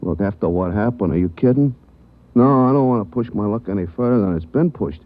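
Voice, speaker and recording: A man's voice: on the low side, lively intonation, fairly quick, narrow, tinny audio, natural voice. An actor playing a wounded, streetwise male taxi driver in a 1940s radio drama. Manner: streetwise, chatty, says "you know". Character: tough talking male cab driver, street-wise. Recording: old radio broadcast, band-limited, hiss.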